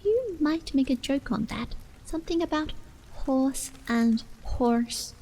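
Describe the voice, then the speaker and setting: A woman's voice: high voice, lively intonation, takes it slowly, dark tone, slight background noise, pitched above the performer's own voice, poor audio. A woman voicing a tiny, unhappy insect in a storybook reading. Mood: teasing. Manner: plaintive and small. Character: tiny, sad, joke-loving insect.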